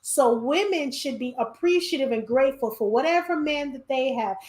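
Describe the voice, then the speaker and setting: A woman's voice: high voice, lively intonation, moderate pace, darkish tone. A middle-aged woman co-hosting a casual discussion, rambling with frequent restarts and trailing phrases. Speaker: podcast co-host. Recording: echoey room.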